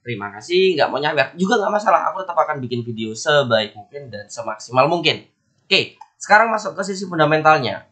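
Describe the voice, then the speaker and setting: A man's voice: medium pitch, fairly bright tone. A man with a stuffy, nasal voice from a cold, chatting casually as a forex analysis host and talking straight to his viewers. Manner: chatty, repeats words, friendly asides to viewers. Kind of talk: casual market commentary to camera.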